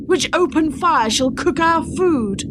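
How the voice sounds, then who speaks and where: A woman playing a wounded, reproachful medieval wife in a radio drama, speaking archaic English in a measured, declamatory way. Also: high-pitched, lively intonation, noisy background. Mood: indignant, desperate. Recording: radio play with loud music behind.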